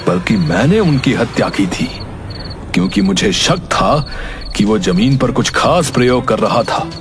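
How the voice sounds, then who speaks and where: A man playing a boastful, murderous village chieftain in a cartoon, speaking in a stern, deliberate, threatening way. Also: medium pitch, natural voice. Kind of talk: dramatic Hindi cartoon dialogue.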